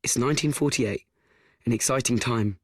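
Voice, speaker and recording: A man acting a frank, awkward teenage boy narrating his life in a radio play, deadpan, confiding and self-deprecating. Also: medium pitch, moderate pace, no room sound, studio quality, close to an everyday voice.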